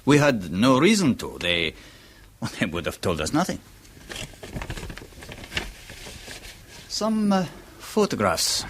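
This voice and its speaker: An actor playing a grimly precise pathologist, explaining formally and deliberately in a radio horror drama. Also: male voice, medium pitch, huge pitch swings, fairly bright tone, a little hiss or hum. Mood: grave, insistent. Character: French male pathologist, formal, grimly precise, self-satisfied.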